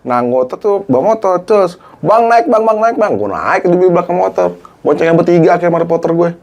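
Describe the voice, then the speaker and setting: A man's voice: sits high, a little fast, vocal fry. A middle-aged man, a former TV cameraman, telling a story in casual slang and acting out quoted dialogue. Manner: animated, acts out quoted dialogue, Jakarta slang.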